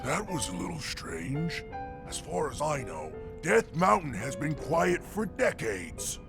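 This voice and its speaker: A man's voice: fairly high pitch, sing-song, takes it slowly, creaky, full character voice. A man voicing a big, boisterous, good-natured mountain warrior creature in a video game, with a folksy, teasing manner. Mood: puzzled, musing. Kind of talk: video game cutscene acting. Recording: music behind the voice.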